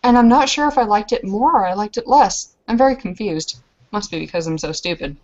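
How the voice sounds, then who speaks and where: A woman's voice: mid-range voice, lively intonation, brisk, a little mellow, muffled recording, lightly acted. A woman voicing a self-deprecating female werewolf warrior who tells of her battles in a hesitant, rambling way. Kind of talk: in-character tabletop roleplay storytelling.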